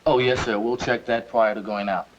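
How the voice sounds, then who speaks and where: A man's voice: mid-range voice, fairly even intonation, normal speed, lightly acted. A man with an American accent playing a plain-spoken senior investigator briefing his team in a 1970s training film. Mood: businesslike. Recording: dull, faintly noisy 1970s film sound.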